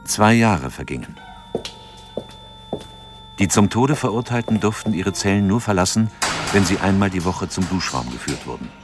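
Very low-voiced sober male narrator. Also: lively intonation, moderate pace, long pauses, over music. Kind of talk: scripted audio drama narration.

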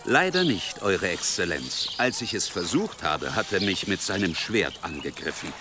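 An actor playing a self-assured but deferential medieval swordsman in a German audio drama, measured, courteous and matter-of-fact. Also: deep voice, very animated, noisy background.

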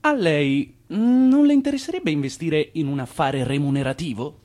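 An actor as a scheming hustler, swooping pitch. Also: male voice, animated, normal speed, darkish tone, close to an everyday voice. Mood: pleading, insistent. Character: scheming, evasive immigrant man hustling for money. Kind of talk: theatrical radio play dialogue.

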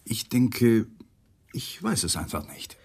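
An actor playing a shaken, evasive young lawyer and widower in a radio crime play, hesitant and subdued. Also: male voice, mid-range voice, very animated, slow pace, slightly rough, no room sound, clean studio sound, exaggerated voice. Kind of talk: 1980s radio play acting.

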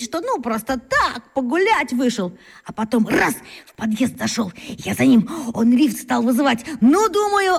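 A woman playing an excitable, boastful schoolboy who fancies himself a detective, telling his tales breathlessly in a children's radio drama. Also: sits high. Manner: breathless, boastful storytelling with exclamations.

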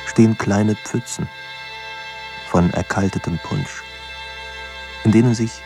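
A man narrating a literary radio drama in a measured, solemn way, pausing between phrases. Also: sits low. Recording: studio narration over clear music. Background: music.

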